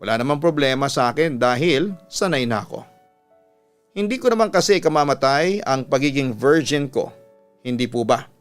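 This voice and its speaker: A Filipino man reads a personal letter in the manner of a radio storyteller. His voice sits at a medium pitch. Instrumental music plays behind him throughout. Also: animated, moderate pace. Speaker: radio announcer and storytelling host. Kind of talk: scripted narration of a listener's letter. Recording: music bed under the voice.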